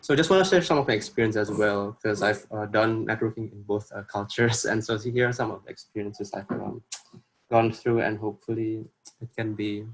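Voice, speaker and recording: A man's voice: on the low side, fairly even intonation, a little fast, slight background noise, rough recording. A young man from Indonesia with an Indonesian accent, giving an informal workshop talk over a video call in earnest, hesitant phrasing. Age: young adult. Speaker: workshop presenter.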